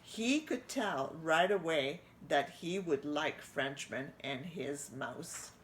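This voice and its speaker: A middle-aged woman reading a picture book aloud to children, expressive and pointing out the pictures. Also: deep voice, slow pace, a little hiss or hum. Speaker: children's storytime reader.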